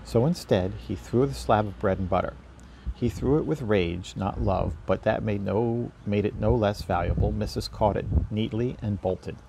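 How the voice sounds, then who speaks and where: A man's voice: lowish voice, moderate pace, gravelly, background noise. A man reading a children's storybook aloud as narrator. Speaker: story reader.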